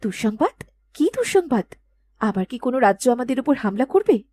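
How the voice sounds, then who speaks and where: A woman's voice: a little high, very animated, normal speed, darkish tone, no echo. A woman voicing a dutiful young princess in a storybook cartoon, with an earnest, regal way of speaking. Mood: worried, questioning. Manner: earnest, insistent questioning.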